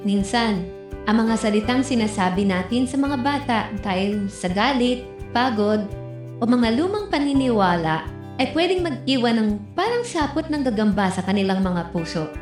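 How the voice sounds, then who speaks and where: A woman narrating a scripted first-person story in an expressive, dramatic storytelling manner. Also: a little high, moderate pace. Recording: roomy echo with music behind. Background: music.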